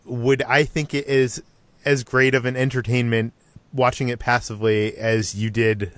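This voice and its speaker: Medium-pitched man, dry close sound. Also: flat delivery, moderate pace, no echo. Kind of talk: casual questioning podcast discussion.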